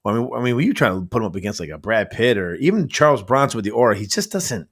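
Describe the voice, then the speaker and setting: A man's voice: fairly low pitch, lively intonation, very fast, breathy edge. A man guesting on a podcast, chatting casually about movies, swearing freely and laughing at his own jokes.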